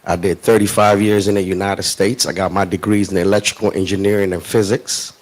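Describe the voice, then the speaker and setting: A middle-aged man from Ghana speaking as a guest on a panel in front of a live audience, in a measured and reflective way. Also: low-pitched, level tone. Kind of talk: panel discussion.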